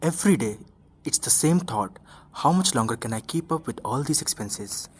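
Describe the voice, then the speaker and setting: Male actor as tired working dad, raspy edge, medium pitch, friendly, radio drama. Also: animated, normal speed. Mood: weary, worried. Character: weary working father worried about money, friendly. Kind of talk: amateur radio drama acting.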